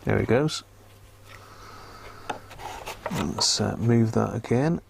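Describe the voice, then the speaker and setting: A British man giving casual, unhurried live commentary as he works at a repair bench, half muttering to himself. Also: lowish voice, vocal fry, slight background noise. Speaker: host of a live radio repair stream. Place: indoor repair workbench.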